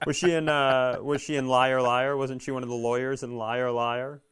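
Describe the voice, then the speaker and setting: A man with a smooth, clean voice at a middling pitch co-hosts a comedy podcast. He talks casually, swearing freely as he tells stories in an exasperated, ranting way. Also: flat delivery, normal speed, a bit bright. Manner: profane, exasperated storytelling. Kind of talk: casual comic banter and ranting.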